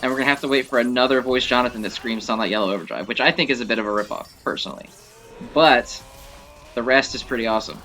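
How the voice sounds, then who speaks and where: A man's voice: mid-range voice, quick pace, sharp tone. A man giving casual, opinionated gameplay commentary, thinking aloud in a conversational way. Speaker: gaming video host.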